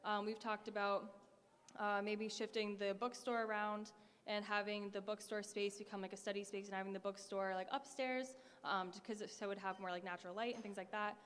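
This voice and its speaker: Smooth-voiced young woman in an echoey hall. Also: medium pitch, little pitch movement, fairly quick, a bit bright. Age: 20s. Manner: hesitant, many fillers and restarts. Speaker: student candidate at a debate. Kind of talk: answering debate questions.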